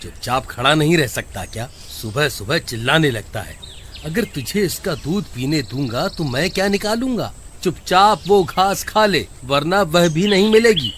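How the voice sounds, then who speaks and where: Casting: man voicing greedy village milkman for cartoon moral tale; high, very animated, grumpy scolding; noisy animal ambience. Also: normal speed. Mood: irritated, stingy. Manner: scolding, exaggerated complaining delivery. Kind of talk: animated Hindi moral story dialogue. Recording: noisy track, cattle and cricket sounds.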